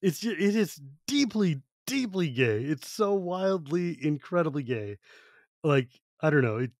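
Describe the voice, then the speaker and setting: An American man hosting a podcast, chatting in an informal, emphatic and animated way. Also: on the high side, measured pace, dry recording, studio quality. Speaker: podcast host. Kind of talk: casual chat.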